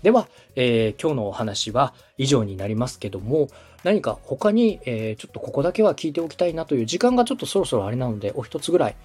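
A man's voice: medium pitch, sing-song, fast, few pauses, dark tone, husky, faint music behind. A man from Osaka hosting a podcast and coaching with polite, encouraging, explanatory advice. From Osaka, Japan. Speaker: podcast host, coach, radio director. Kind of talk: instructional advice in a podcast dialogue.

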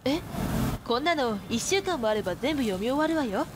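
A woman playing a confident, blunt, bookish high school girl in a radio drama, brisk and a little pompous in manner. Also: expressive. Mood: lofty, assured. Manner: assured, brisk, pompous when lecturing. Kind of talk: Japanese radio drama acting. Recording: loud ambient noise behind the voice.

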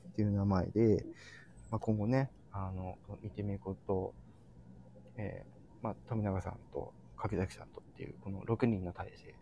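A man with a somewhat low, creaky voice talks casually and earnestly to his listeners as a show host. He keeps pausing to find his words. There is some background noise on the live stream. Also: steady tone, dark tone, dull audio. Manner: halting, searching for words.

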